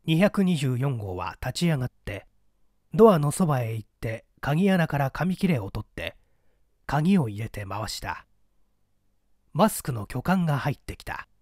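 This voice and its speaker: A man's voice: mid-range voice, sing-song, moderate pace, a little mellow, no echo, clean studio sound. A man reading a mystery story aloud as dramatic scripted narration. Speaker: audiobook reader.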